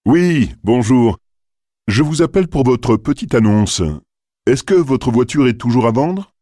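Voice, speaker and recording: A man's voice: mid-range voice, lively intonation, a little slow, warm tone, dry recording. A polite, interested male caller, played by a man in a scripted dialogue. His intonation swings widely and the sound is dry. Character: polite, interested male buyer calling about an advert. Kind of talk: acted phone dialogue.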